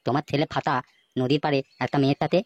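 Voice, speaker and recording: A man's voice: on the high side, level tone, rapid-fire, muffled recording, heavy background noise, lightly acted. A man voicing a jealous, scheming seven-year-old village boy, sly and crude, in a comic cartoon. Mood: fake innocence.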